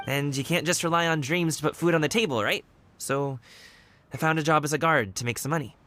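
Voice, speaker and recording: A man's voice: fairly high pitch, moderate pace, bright, forceful tone, no echo, faint music behind. An actor playing an easygoing young man, a wushu dancer working as a guard, friendly and candid in a video game. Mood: earnest. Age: young adult. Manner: friendly, laid-back and candid. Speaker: game character.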